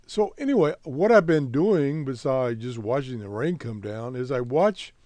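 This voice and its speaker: An American man hosting a casual, unscripted hobby show update in a halting, laid-back, rambling way. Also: medium pitch, expressive, dark tone, dry recording. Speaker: host of a radio hobby show.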